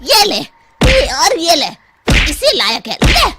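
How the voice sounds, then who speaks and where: A woman voicing a sly, vengeful village man who gloats and threatens in an animated Hindi story. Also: extremely high pitch, takes it slowly, heavily acted. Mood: menacing. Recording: faint background noise.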